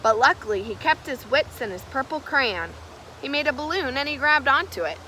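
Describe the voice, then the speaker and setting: A woman reading a children's storybook aloud in an expressive storytelling manner, stressing key words. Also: high voice, brisk, slight background noise, rough recording. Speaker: storybook reader. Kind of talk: children's read-aloud, scripted narration.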